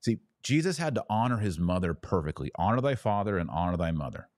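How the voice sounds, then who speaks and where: Low-voiced man teaching with emphasis. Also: sing-song, a little mellow, no room sound, studio quality. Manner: emphatic, didactic, with deliberate pauses on key words. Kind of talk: live theological teaching and viewer Q&A.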